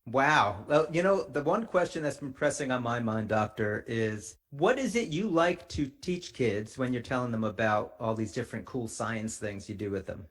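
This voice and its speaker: Friendly male podcast interviewer, medium pitch. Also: animated, moderate pace, hardly any pauses. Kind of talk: conversational interviewing.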